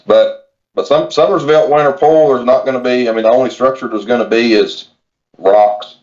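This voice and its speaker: A man's voice: medium pitch, steady tone, moderate pace, darkish tone. A man from West Virginia chatting casually as a podcast guest, plainspoken and folksy, over slightly lo-fi call audio. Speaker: podcast guest, kayak angler. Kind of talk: casual chat, informal advice.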